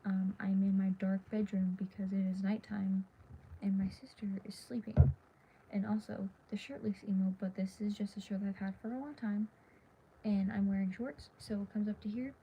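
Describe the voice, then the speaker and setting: A teenage girl livestreaming from her dark bedroom at night, talking in a tired, half-whispered ramble while losing her voice. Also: medium pitch, little pitch movement, normal speed. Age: teens. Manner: hushed, half-whispered and rambling with many fillers. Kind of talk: casual late-night livestream chat. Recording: dull lo-fi phone audio with faint hiss.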